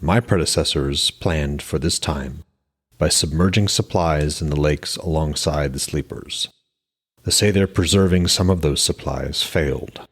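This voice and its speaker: A man voicing a calm, implacable giant war chief in an even, measured manner for a fantasy audiobook. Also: extremely low pitch, slightly rough, pitched below the performer's own voice, full character voice. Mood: grave, explaining.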